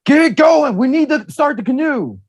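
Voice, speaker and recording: A man's voice: extremely high pitch, lively intonation, moderate pace, pitched above the performer's own voice. A man voicing a brash, cocky character in a comic screenplay, blustery and slangy. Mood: urgent shouting.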